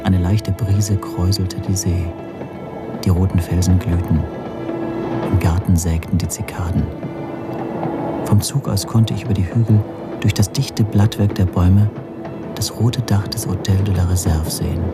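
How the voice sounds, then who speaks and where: Low reflective male narrator, an actor over music. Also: fairly even intonation, moderate pace, pauses often, close to an everyday voice. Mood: reflective, calm. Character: reflective male first-person narrator of a spy story. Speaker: narrator in a radio play. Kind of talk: first-person literary narration.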